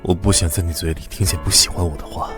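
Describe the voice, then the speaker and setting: A man plays a young underworld heir in an animated drama, possessive and calculating. His voice is on the low side, controlled and coolly insistent. Music plays clearly behind him. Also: quick pace, close to an everyday voice.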